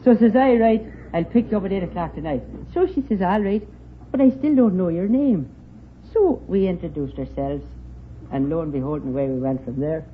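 A man's voice: high-pitched, brisk. A man with a Northern Irish accent, chatting and telling stories as a contestant on a 1950s radio quiz. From Northern Ireland. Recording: dull old radio recording, audience, hiss.

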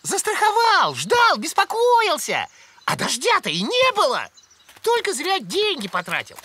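A man voicing an excitable, simple-minded male cartoon animal who exclaims a lot, over cartoon music. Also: extremely high pitch, unhurried, full character voice.

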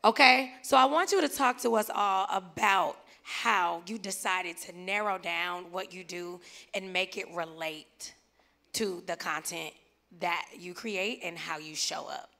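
A woman's voice: on the low side, measured pace, creaky, sharp tone. A woman moderating a live conference panel on stage with a handheld mic, playful and teasing as she talks to guests and the crowd. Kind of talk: lively live panel hosting.

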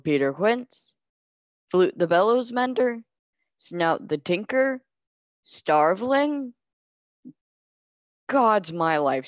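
A man's voice: high-pitched, clear, resonant tone, dry recording, heavily acted. A man playing a bewildered, self-important workman waking from a wondrous dream in a Shakespeare monologue. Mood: calling out.

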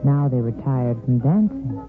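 A man's voice: medium pitch, expressive, normal speed. A man reading lyrical, dramatic scripted narration for a 1950s radio soap opera. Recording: tinny vintage radio recording. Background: music.

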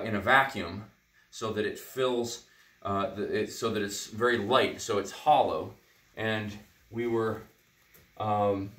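A man's voice: lowish voice, fairly bright tone. Rambling middle-aged man explaining hands-on. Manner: rambling, full of ums and uhs, explanatory. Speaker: natural builder demonstrating a heater. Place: indoors beside a cob heater in a barn workshop. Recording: roomy indoor echo.

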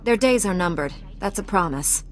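A woman's voice: medium pitch, lively intonation, normal speed, lightly acted. An actress playing a confident, composed female knight in a sci-fi video game, resolute and assured. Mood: calm, resolute.

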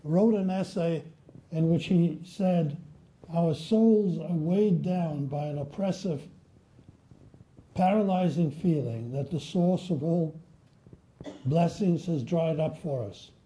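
An older man from Poland giving a measured, solemn history lecture at a lectern in a library room. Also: on the high side, dark tone, low-quality recording. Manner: measured, solemn, reads quotations slowly. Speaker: guest lecturer. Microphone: at a lectern, filmed by a far camera.

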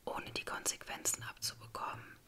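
A woman's voice: moderate pace, lightly acted. A woman voicing a cruel, spiteful old grandmother in a menacing whisper for a story. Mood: gloating menace.

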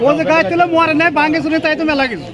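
Loud high-voiced man arguing in a crowd. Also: level tone, very fast. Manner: loud, insistent, talking over others. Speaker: villager in a street argument. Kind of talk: heated roadside argument. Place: village market road outdoors. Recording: outdoor crowd noise. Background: crowd chatter.

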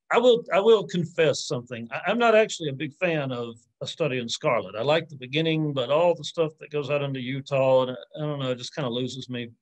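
A man's voice: fairly high pitch, poor audio. A man in his forties speaking as an academic in an informal Q&A, thinking aloud in a hesitant, reflective way and rephrasing as he goes. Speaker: academic answering audience questions. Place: indoors on a video call with virtual background.

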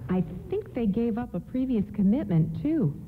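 A woman's voice: a little high, sing-song, normal speed. An actress playing a tender, sentimental mother and devoted wife in a radio play. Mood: happy, grateful. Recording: narrow, slightly noisy AM broadcast.

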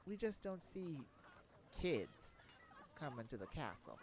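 A woman's voice: low voice, very animated, measured pace, exaggerated voice. Woman putting on a blustering male castle guard, noisy recording. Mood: backpedaling. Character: blustering male castle gate guard, scornful, easily cowed. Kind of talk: fantasy audio roleplay.

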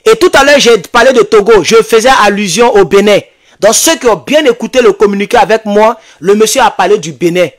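A man's voice: high voice, fairly quick. An Ivorian man in his thirties speaking as an activist host, giving impassioned, urgent commentary to his online followers and repeating phrases for emphasis. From Ivory Coast. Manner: emphatic, repetitive, urgent, animated. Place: small indoor home studio. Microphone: desk microphone close in front.

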